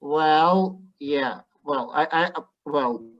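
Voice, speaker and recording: A man's voice: on the high side, slight background noise. Sardonic man on a muffled call. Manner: deliberate, sardonic, repeats points. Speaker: panelist. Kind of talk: roundtable discussion. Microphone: video call. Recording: muffled video call audio.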